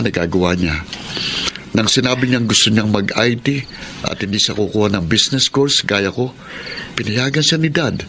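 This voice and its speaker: A man with a Filipino accent playing a gentle, resigned, obedient elder son in a radio drama. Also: lowish voice, background noise, natural voice.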